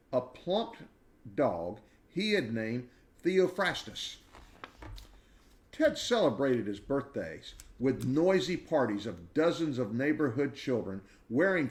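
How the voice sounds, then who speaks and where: A man reading a children's book aloud in an expressive storytelling style. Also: mid-range voice.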